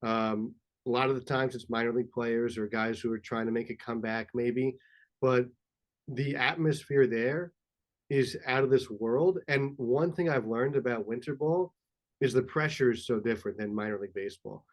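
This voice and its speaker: A man's voice: mid-range voice, normal speed, poor audio. A man hosting a sports podcast, asking curious questions with enthusiastic interest. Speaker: podcast host. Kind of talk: casual podcast chat.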